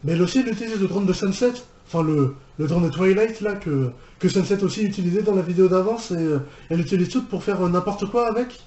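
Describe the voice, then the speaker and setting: A man with a fairly high, mellow voice hosts a casual reaction commentary. He rambles and circles back on his words, recorded in an echoey room. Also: fast, few pauses, muffled recording. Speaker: reaction video host and fan dubber.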